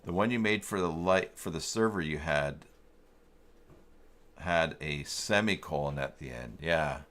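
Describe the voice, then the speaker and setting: Low-voiced man thinking aloud. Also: fairly bright tone. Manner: halting, muttering to himself while working. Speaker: live stream host giving web tutorials. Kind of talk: casual live tech walkthrough, thinking aloud.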